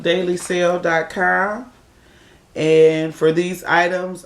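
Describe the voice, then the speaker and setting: A woman casually chatting through an unboxing for her viewers, her voice raspy from a cold as she admires each piece. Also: sits low. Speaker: unboxing vlogger. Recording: echoey room.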